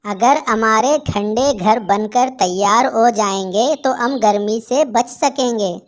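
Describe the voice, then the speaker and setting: A woman's voice: on the high side, takes it slowly. A woman voicing a small, sensible female bird in a cartoon, earnest and polite. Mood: earnest, advising.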